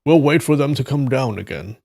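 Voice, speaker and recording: A man voicing a suspicious, cautious male martial arts master who plots deliberately in a storybook reading. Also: mid-range voice, expressive, gravelly, a little mellow, voice pushed up. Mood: suspicious, plotting.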